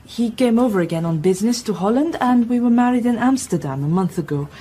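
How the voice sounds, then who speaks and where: A woman's voice: on the high side, lively intonation, fast talker, warm tone, a little hiss or hum, close to an everyday voice. A woman playing a polite, reserved young married woman in an audio drama, speaking formally and with composure. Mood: composed.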